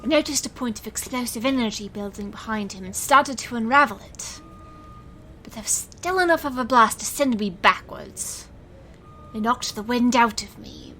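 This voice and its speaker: A young stage magician heroine with a fairly high, bright and forceful voice. She is sarcastic, theatrical and defiant, moving between first-person narration and dramatic dialogue. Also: slight background noise, rough recording.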